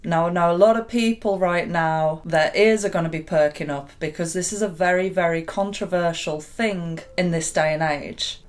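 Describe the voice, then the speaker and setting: A young woman talks to her camera in a deep, low voice. She tells her story candidly and reflectively, with small laughs along the way. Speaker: YouTuber.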